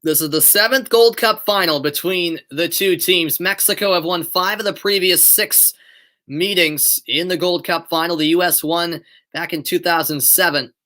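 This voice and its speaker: Young American male, amateur play-by-play caller, bright tense tone, excitable on chances, webcam in a bedroom. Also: on the high side, moderate pace. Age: young adult. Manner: excitable build-ups on shots, chatty asides to viewers. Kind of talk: live play-by-play commentary mixed with chat. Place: bedroom at home.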